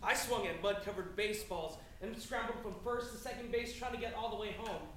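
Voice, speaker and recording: A man's voice: high-pitched, brisk, fairly bright tone, distant mic, slight background noise. A young man performing a spoken word poem live, rhythmic and emphatic, trading lines with a partner. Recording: echoey room heard from afar.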